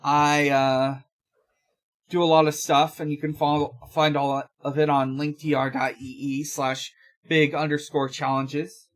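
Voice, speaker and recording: A young man co-hosting a podcast in casual chat, talking in a halting, rambling way and often correcting himself. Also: on the high side, level tone. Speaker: podcast co-host.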